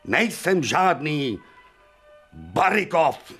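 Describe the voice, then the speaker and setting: An actor playing a proud, sardonic aging professor surgeon in a radio drama, talking theatrically with bursts of irony. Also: male voice, fairly high pitch, sing-song, a bit bright, husky, faint music behind, exaggerated voice. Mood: lecturing. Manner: theatrical, ironic, hums and whispers. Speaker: Professor Preobrazhensky. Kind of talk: 1990 radio drama acting.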